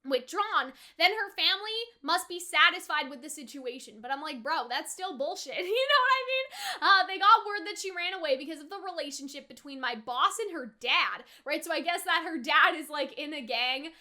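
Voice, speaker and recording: High, bright-voiced woman chatting casually. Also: normal speed. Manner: chatty, animated retellings with slangy asides. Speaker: anime reaction host. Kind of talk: casual reaction commentary.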